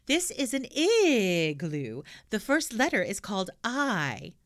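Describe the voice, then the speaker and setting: A woman voicing a gentle schoolteacher who grows flustered with an unruly pupil. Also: medium pitch, huge pitch swings, takes it slowly, dry recording, clean studio sound. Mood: coaxing. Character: patient, flustered female schoolteacher.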